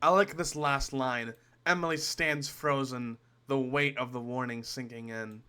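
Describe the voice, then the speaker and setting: A man's voice: mid-range voice, steady tone, measured pace, pushed and bright, clear, resonant tone. A young man giving casual reaction commentary as a streamer, joking, swearing and musing aloud.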